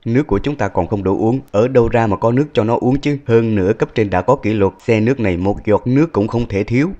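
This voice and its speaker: A man playing a grumbling, hot-tempered young army soldier in a dubbed cartoon, brash and quick to complain. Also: lowish voice, dark tone, highs cut off, natural voice. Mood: protesting. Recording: music behind the voice.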